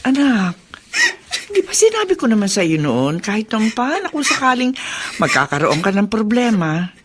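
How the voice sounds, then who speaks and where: A woman's voice: mid-range voice, sing-song, moderate pace, background noise, natural voice. An actress playing a protective middle-aged mother with an emphatic, motherly manner in a Filipino radio drama. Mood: consoling.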